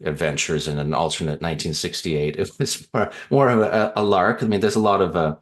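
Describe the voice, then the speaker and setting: A man's voice: sits low, fairly quick. A middle-aged man from Canada, an author answering interview questions in a thoughtful, rambling way with fillers and restarts. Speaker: author guest.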